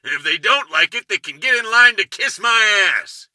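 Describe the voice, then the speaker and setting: A foul-mouthed boss barks and roars at his staff in a high, strained, sharp-edged voice. A man acts him out in a dry audiobook reading. He never drops the anger. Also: lively intonation, normal speed, dry recording, heavily acted. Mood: furious. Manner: roaring, barking orders. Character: furious bellowing male boss, foul-mouthed.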